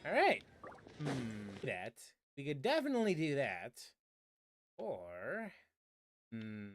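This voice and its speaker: A man's voice: mid-range voice, wildly swooping pitch, takes it slowly. A man streaming a game, chatting casually and rambling with restarts while reacting to his chat. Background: game music.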